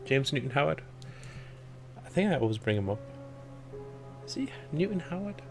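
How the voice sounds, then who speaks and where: Croaky man thinking aloud over gameplay. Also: fairly high pitch, very animated, normal speed, over music. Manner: rambling with fillers, thinking aloud. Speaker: let's play commentator. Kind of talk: casual gameplay commentary.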